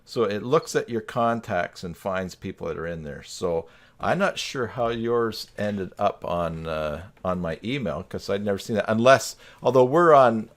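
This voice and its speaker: Joking, low-pitched man hosting a stream. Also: expressive, normal speed. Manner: joking, teasing. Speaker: live stream host. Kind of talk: casual chat. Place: indoors on a video call live stream.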